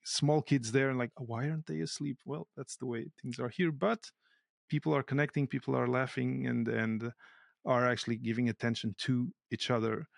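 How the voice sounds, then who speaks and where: A man speaks reflectively as a podcast guest, pausing to find his words. His voice has a gravelly, creaky texture at a middle pitch. The recording is close and dry, with no room sound. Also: fairly even intonation, dry recording. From Portugal. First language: Portuguese. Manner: thoughtful, searches for words aloud. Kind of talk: casual conversation.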